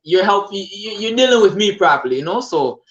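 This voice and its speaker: A man's voice: high-pitched, expressive, a little fast, lo-fi sound. A man in his late twenties, a musician being interviewed, talking casually in a loose, rambling way full of fillers and restarts. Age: 20s. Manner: laid-back, rambling, heavy fillers and restarts. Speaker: guest musician. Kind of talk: casual podcast conversation.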